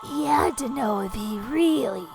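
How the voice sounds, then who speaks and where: A brooding talking flower acted by a woman from an amateur audio drama, slow and melodramatic, with music clearly behind. The voice sits a little high and treats every sentence as tragic heroic narration. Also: animated. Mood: anguished. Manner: melodramatic, slow, deliberate delivery.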